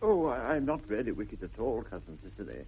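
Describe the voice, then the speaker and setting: Suave, animated English dandy. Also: male voice, on the low side, fairly quick, creaky, slightly rough, exaggerated voice. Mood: charming. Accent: upper-class English. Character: suave, flippant, rakish young English dandy. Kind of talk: 1960s radio comedy-of-manners acting. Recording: dull, muffled old radio broadcast.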